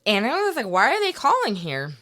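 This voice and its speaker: A woman's voice: a little high, fairly quick, no room sound, clean studio sound. A woman hosting a podcast in a casual, informal storytelling way, acting out quotes as she goes. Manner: animated quoting, swearing, informal. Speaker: podcast host.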